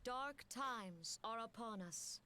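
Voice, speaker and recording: An actress voicing a solemn woman military commander who addresses her people in a grave, formal and measured way. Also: on the high side, expressive, pushed and bright, exaggerated voice. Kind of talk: video game cutscene voice acting.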